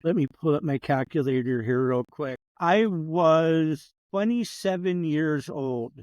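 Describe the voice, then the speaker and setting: A man in his sixties, a professor, sits in as a podcast guest. His voice sits fairly high for a man, and he tells his stories with chatty, wry humor. The sound is close and dry. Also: a little slow, darkish tone, no echo, muffled recording. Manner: reminiscing storyteller, chatty and wry. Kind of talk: casual conversational interview.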